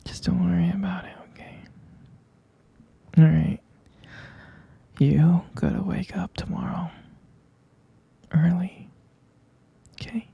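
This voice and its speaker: A man playing an affectionate, doting boyfriend in an intimate ASMR roleplay, speaking in a soft, gravelly whisper with tender hesitations. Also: fairly even intonation, no echo, lo-fi sound, exaggerated voice. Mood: gently coaxing. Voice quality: soft, breathy whisper with low gravelly fry. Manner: slow, tender, hesitant with pet names. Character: affectionate, doting male vampire boyfriend soothing partner to sleep.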